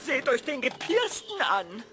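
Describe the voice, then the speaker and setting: High, pompous, theatrical school director. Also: female voice, sing-song, unhurried, fairly bright tone, soft background music, heavily acted. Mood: fawning, indignant. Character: pompous, fawning, callous school director and Santa's assistant. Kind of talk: audio drama acting.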